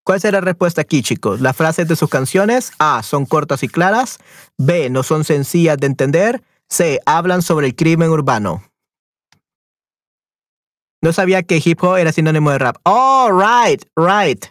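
A man's voice: fairly high pitch, lively intonation, measured pace, a bit bright, no room sound, studio quality. A man teaching a Spanish lesson to an online group, reading quiz questions aloud and switching often between Spanish and English, repeating his affirmations. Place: online class, talking to students.

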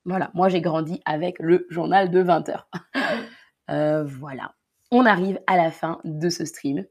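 A woman's voice: lowish voice, steady tone, measured pace, darkish tone. A woman with a Parisian accent, from the Paris region, teaching a live-streamed French lesson with careful explanations and repeated key words. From Paris region, France.

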